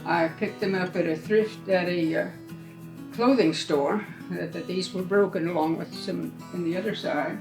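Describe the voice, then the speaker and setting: A woman in her eighties giving an informal, halting, plainspoken guided tour of the small museum and shop she keeps. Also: fairly low pitch, fairly even intonation, creaky, over music, low-quality recording. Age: 80s.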